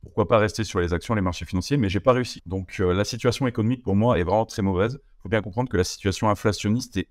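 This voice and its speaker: A young man from France with a very low voice talks fast in a casual interview, stumbling through fillers and restarts. The sound is close and dry, with no room around it. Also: dry recording. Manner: hesitant, many fillers and restarts. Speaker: interview guest, entrepreneur.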